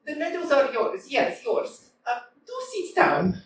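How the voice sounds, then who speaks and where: A woman's voice: sits high, very animated, measured pace, a little hiss or hum. A woman voicing a headstrong, argumentative young woman in a staged play reading, teasing and stubborn, heard from a distance in an echoey hall. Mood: polite. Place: small theatre stage.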